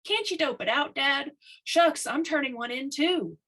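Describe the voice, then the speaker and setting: A woman's voice: high voice, expressive, measured pace, a bit bright, voice pushed up. A woman voicing an anxious male timekeeper, a pleading clerk with slangy speech, in a storybook reading. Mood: resigned. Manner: begging, slangy.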